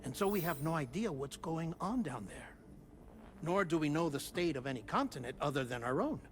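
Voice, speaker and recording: A man's voice: fairly high pitch, animated, a little hiss or hum, lightly acted. An actor playing an eccentric, affable male researcher telling a story in a theatrical, conversational way for a video game cutscene. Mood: expository, intrigued. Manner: theatrical, conversational storytelling with chuckles and dramatic pauses. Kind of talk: video game cutscene monologue, explanatory narration.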